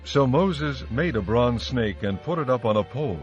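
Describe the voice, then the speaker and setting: A man narrating scripture from a script in a solemn, measured way. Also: medium pitch, expressive. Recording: slightly muffled studio track with music.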